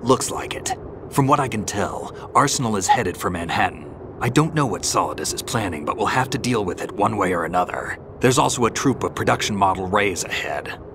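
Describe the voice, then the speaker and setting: Deep gruff stoic veteran soldier. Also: male voice, lively intonation, brisk, background noise. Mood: terse, matter-of-fact. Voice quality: gravelly, gruff. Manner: terse, dry, unflappable. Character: gruff, stoic veteran male soldier, world-weary mentor. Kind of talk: video game cutscene voice acting.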